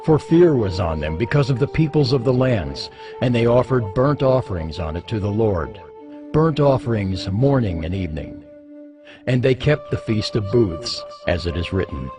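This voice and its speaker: A man narrating scripture as a scripted dramatized reading, solemn and expressive in his storytelling. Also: fairly low pitch. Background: music.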